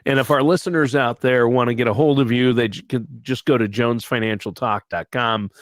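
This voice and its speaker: A man's voice: medium pitch, steady tone, fairly quick, no echo, studio quality. A man co-hosting a finance talk show, chatting casually and prompting questions. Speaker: co-host.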